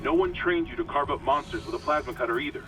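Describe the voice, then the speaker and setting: A man's voice: medium pitch, fast talker, recorded from a distance, over music, natural voice, poor audio. An actor playing a commanding, plain-spoken male security officer in a video game cutscene. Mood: firm, steadying. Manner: authoritative, plain-spoken, profane.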